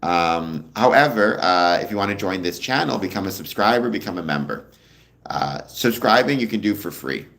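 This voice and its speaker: A young man hosting a casual livestream, answering viewer comments in a relaxed, rambling way with a deep voice. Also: normal speed, creaky. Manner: relaxed, rambling, many ums, answers chat questions. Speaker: livestream host.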